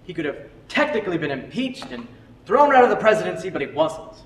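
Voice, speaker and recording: Earnest male history teacher played by a man, stage drama, very animated delivery, reverberant hall with faint noise. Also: high-pitched, fast. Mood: lecturing. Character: earnest, opinionated male history teacher defending his methods. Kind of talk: amateur stage drama acting.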